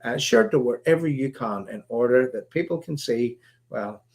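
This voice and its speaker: A man with a Northern Irish accent hosting a live stream, talking in a halting, rambling way full of fillers, his voice croaky. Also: mid-range voice, lively intonation. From Northern Ireland. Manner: halting, many fillers and restarts. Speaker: livestream host.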